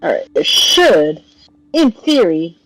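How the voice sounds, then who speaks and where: A woman's voice: on the low side, big pitch swings, slow pace, soft background music, rough recording. A woman streamer giving casual, offhand gameplay commentary in muttered, sweary asides. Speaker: video game streamer.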